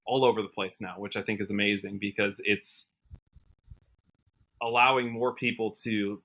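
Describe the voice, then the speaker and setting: An American man chatting casually as an interview guest, earnest and halting, often rephrasing as he goes. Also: lowish voice, fairly even intonation, fairly bright tone. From Washington state, USA. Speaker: podcast guest, country singer.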